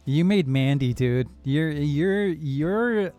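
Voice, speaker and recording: A man's voice: a little high, animated, takes it slowly, warm tone, clean tone. A young man co-hosting a casual film podcast, talking in a rambling, jokey way. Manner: laid-back, rambling, jokey. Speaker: podcast co-host. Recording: faint music behind.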